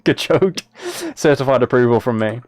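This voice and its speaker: Male streamer, mid-pitched, casual and chatty, talking to viewers while playing, very dry recording. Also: moderate pace, darkish tone, no room sound. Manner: chatty, thinking aloud as he plays. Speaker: live streamer. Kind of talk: casual live game stream chat, replying to viewers.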